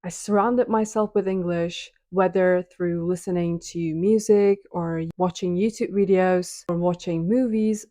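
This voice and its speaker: A woman's voice: fairly low pitch, warm tone, dry recording. Turkish-accented woman vlogger, dry sound. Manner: chatty, restarts phrases while explaining. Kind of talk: casual explainer to camera.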